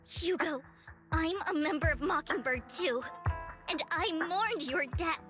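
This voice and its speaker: Indignant, high-voiced girl. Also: a little slow. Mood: hurt, indignant. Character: wounded, indignant, devoted young female comrade. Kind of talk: dramatic video game voice acting. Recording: background music under voice.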